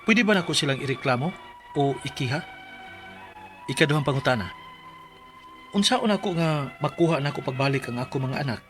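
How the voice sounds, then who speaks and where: A man's voice: mid-range voice, lively intonation, brisk, long pauses, fairly bright tone, lightly acted. Actor as a distraught Cebuano father, music behind. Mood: aggrieved, sorrowful. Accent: Cebuano Filipino. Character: distraught rural Filipino father pleading for his children. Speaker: radio drama actor. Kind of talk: Bisaya radio drama acting and letter narration.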